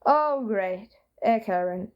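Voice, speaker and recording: A woman's voice: medium pitch, lively intonation, takes it slowly, muffled recording. A woman reading a story aloud as a scripted narration. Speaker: fan fiction reader.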